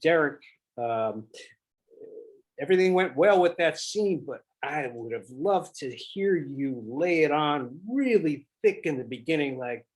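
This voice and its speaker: Male director, slightly high voice, informal feedback, halting delivery that circles back on itself as he thinks. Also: animated, poor audio. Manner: halting, thinking aloud, repeating himself. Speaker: director giving notes to actors. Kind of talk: casual spoken feedback.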